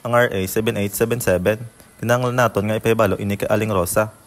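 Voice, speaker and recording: A man's voice: on the low side, fairly even intonation, quick pace, lightly acted. A man playing a reassuring older male co-worker in an amateur radio drama, with a Filipino accent. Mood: reassuring, earnest. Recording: noisy amateur recording with clicks.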